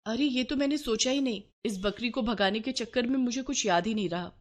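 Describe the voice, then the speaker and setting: Exasperated, worrying mother performed by a woman, high register, for a moral storybook tale. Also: fairly quick, natural voice. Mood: flustered. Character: exasperated village mother, scolding and anxious.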